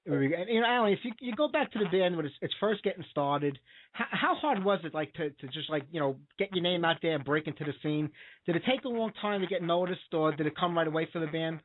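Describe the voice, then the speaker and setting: A man hosting a radio interview, eager and chatty, stacking up fillers. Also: a little high. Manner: eager, fast talker with many fillers. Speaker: radio show host. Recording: tinny phone line.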